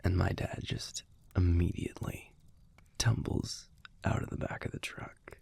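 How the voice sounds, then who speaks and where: A man playing a gruff but fond young werewolf boyfriend in an intimate audio roleplay, telling a story in a hushed, halting, husky and gravelly voice. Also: low voice, steady tone, whispered, heavily acted. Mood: wistful, amused. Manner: hushed, halting, conversational delivery with pauses.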